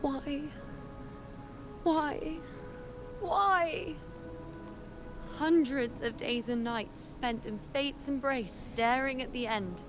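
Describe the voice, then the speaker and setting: Anguished seer priestess, breathy, measured slow delivery, theatrical game monologue, clear music underneath. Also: female voice, high voice. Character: anguished priestess seer despising her own visions of doom. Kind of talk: dramatic video game monologue.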